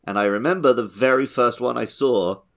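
A man's voice: mid-range voice, a little fast, a bit bright. A man co-hosting a podcast, talking casually through a video game discussion. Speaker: podcast co-host.

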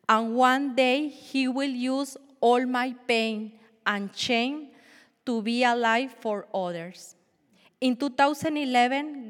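A woman in her forties from Colombia, a native Spanish speaker with a Colombian accent, giving an earnest, measured church testimony with small restarts. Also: on the high side. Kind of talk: prepared personal testimony. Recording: long hall reverb.

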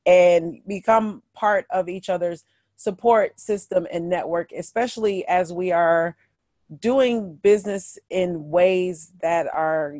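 A woman's voice: fairly low pitch, mellow, no room sound, studio quality. A woman interviewing a friend in a casual, friendly way, using slang like "y'all" and "dope.".

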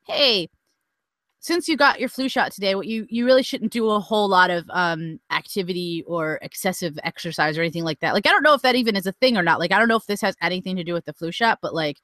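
A woman's voice: lowish voice, fast, fairly bright tone, no room sound, clean studio sound. A woman co-hosting a podcast in casual chat, giggling often, saying "like" over and over, and trailing off. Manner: giggly, heavy use of "like", trailing off. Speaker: podcast co-host.

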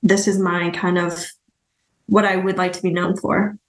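Flat-voiced woman podcast co-host, echoey room. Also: fairly low pitch, moderate pace. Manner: curious, searching questions with restarts. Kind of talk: casual interview conversation.

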